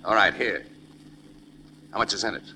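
A wary American man passing as an ex-con in a forties radio crime show. His voice is very bright and forceful, with slangy, wisecracking delivery. The vintage recording carries clear background noise. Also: lowish voice, normal speed. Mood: challenging. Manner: casual slangy tough-guy talk. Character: wary wisecracking young man posing as ex-convict. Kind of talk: 1940s radio crime drama acting.

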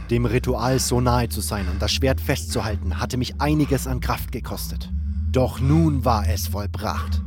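A man reading a fantasy audiobook in the first person, in a measured, dramatic storytelling manner. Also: mid-range voice, background noise. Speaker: audiobook narrator.

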